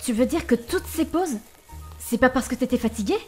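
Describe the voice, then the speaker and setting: A woman playing a talkative, expressive young potion student adventurer in an amateur fantasy audio drama, speaking informally. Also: sits high, moderate pace, soft background music. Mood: puzzled, wary.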